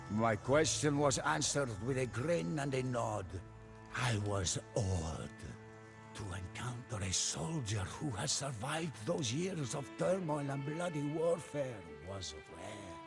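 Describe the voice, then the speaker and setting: A man giving a solemn, theatrical scripted narration of a story in a measured way. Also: medium pitch, gravelly. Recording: music behind the voice. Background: prominent music.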